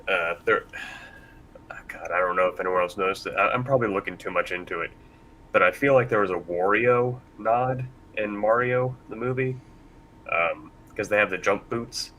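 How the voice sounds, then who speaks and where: A man's voice: fairly low pitch, normal speed, long pauses, a bit bright, poor audio. A man hosting a casual panel discussion in a rambling, self-deprecating way. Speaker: panel host. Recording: faint background noise.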